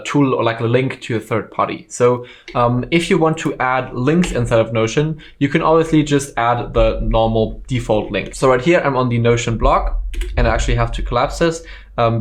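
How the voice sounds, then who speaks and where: A native German speaker talks through a screen-recorded software lesson as a course instructor. His voice sits at a middle pitch. He explains steps in a relaxed way, with frequent ums and uhs.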